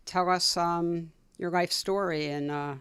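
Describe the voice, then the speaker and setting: A woman's voice: lowish voice, steady tone, unhurried, a bit bright, clean tone, dry recording, studio quality. A woman hosting an interview podcast, easygoing, with fillers as she asks her questions. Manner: easygoing, laughs along, uses fillers. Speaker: podcast host. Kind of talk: casual interview conversation.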